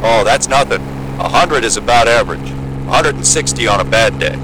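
A man's voice: medium pitch, expressive, normal speed, noisy background, lightly acted. An actor playing a breezy, matter-of-fact, seasoned male Antarctic pilot in an audio drama. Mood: breezy, wry. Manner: breezy, matter-of-fact, wry, salty-tongued. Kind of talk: naturalistic audio drama acting with aviation radio jargon.

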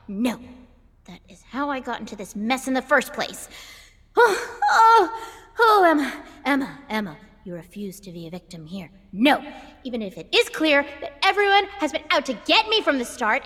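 An actress playing an unhinged, self-pitying diva in a melodramatic, indignant comic inner monologue. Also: female voice, high-pitched, pushed and bright, heavily acted. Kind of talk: comedic inner monologue in audio drama. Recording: long reverb, music underneath.

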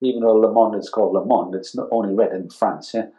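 An older man from northeast England with an English accent, talking casually as an interview guest, explaining haltingly and repeating words and phrases. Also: fairly low pitch, fairly even intonation, warm tone. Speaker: interview guest, advertising art director. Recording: roomy, echoing sound.

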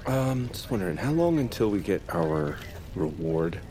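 An actor playing a goofy, excitable male amateur bounty hunter in an audio drama, rambling and emotional. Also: sits low, moderate pace, a little hiss or hum. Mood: eager.

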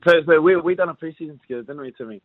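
A man's voice: on the high side, dry recording. Man from New Zealand on a tinny call line. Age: young adult. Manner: relaxed, halting, many fillers and restarts. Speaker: rugby league player, guest. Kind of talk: casual interview chat. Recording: dry, phone-like narrow sound.

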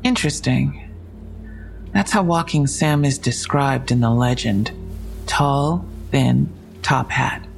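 An actress playing a frank, inquisitive police investigator in an audio drama, matter-of-fact and wry, with a husky, creaky voice. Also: female voice, extremely low pitch, takes it slowly, faint music behind, heavily acted, low-quality recording. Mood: wry, intrigued. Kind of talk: full-cast audio drama dialogue.

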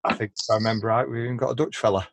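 A man hosting a casual podcast, chatty and quick to share opinions, often stumbling and repeating words as he starts a sentence. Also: medium pitch, steady tone, gravelly, a little hiss or hum. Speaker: podcast host. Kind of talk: casual chat.

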